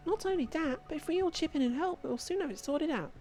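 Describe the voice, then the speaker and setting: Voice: sits high, fast talker, a little mellow, faint music behind, pitched above the performer's own voice. A video game player voicing a polite, eager young boy apprentice in an earnest manner.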